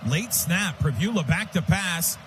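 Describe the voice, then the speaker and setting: A man's voice: high voice, expressive, measured pace. An American man calling live football on the radio as play-by-play announcer, in clipped, urgent phrases. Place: stadium radio booth. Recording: sports radio broadcast with stadium noise. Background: clear stadium noise.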